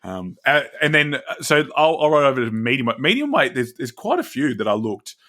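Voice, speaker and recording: A man's voice: medium pitch, big pitch swings, fairly quick, sharp tone. A man with a country Victorian accent, co-hosting a casual board game podcast, joking and restarting his sentences often. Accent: Australian, country Victorian. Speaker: podcast co-host. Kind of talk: casual chat.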